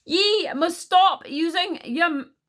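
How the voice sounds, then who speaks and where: A woman's voice: very high voice, takes it slowly, a bit bright, voice pushed up, heavily acted. A woman voicing a haughty, hot-tempered warrior queen in a storybook, imperious, indignant and snapping. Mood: commanding.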